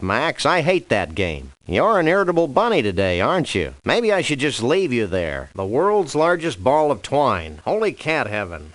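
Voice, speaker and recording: A man voicing a wry, easygoing dog detective in a cartoon adventure game, with dry wit and wordy, deadpan phrasing. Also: medium pitch, no room sound. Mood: wry, mildly annoyed.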